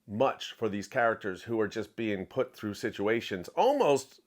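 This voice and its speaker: A man's voice: on the low side, lively intonation, normal speed. A man giving an opinionated book review, speaking emphatically and repeating phrases for stress.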